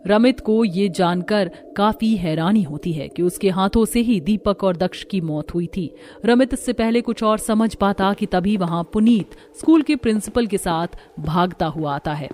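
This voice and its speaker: A woman narrator reading a scripted animated horror story. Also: medium pitch, normal speed.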